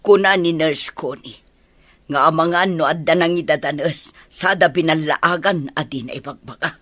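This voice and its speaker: A worried mother played by a woman with an Ilocano accent. She pleads and reproaches in a forceful, raspy voice, recorded very dry. It is radio drama acting. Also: deep voice, normal speed, no room sound. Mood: anguished, pleading. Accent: Filipino, Ilocano. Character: worried, pleading mother defending her daughter.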